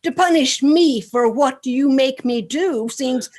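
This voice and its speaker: A woman's voice: high voice, takes it slowly, a little mellow. A woman playing a put-upon, dutiful messenger, deferential and pleading, in a Shakespearean radio play.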